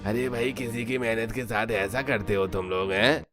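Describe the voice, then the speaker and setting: A man's voice: lowish voice, steady tone, normal speed, a bit bright, faint music behind, lightly acted. A man playing a calculating, persuasive shopkeeper in a cartoon. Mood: scolding. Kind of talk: scripted cartoon dialogue.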